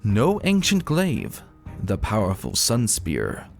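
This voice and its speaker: Low-voiced man narrating a dramatic tale. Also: huge pitch swings, slow, vocal fry, faint music behind. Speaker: narrator of a fan fiction audio reading. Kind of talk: dramatic scripted storybook narration.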